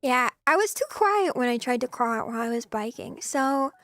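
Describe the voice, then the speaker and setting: A young woman streamer chatting casually with viewers in a soft, giggly voice, her throat a little scratchy. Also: high voice, lively intonation, normal speed, no room sound. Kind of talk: casual chat.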